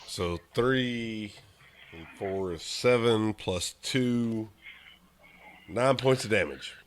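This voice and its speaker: A low-voiced man plays at a tabletop game, stating what he does in a blunt, matter-of-fact way. A faint hiss sits under his voice. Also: extremely slow. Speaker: player. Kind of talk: casual tabletop game play.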